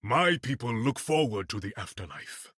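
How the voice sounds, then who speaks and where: A man's voice: mid-range voice, big pitch swings, moderate pace, fairly bright tone, husky, dry recording, clean studio sound. A man playing a proud, dignified warrior king in a video game, with an African accent and formal, regal phrasing. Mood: proud, solemn. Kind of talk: video game character voice acting.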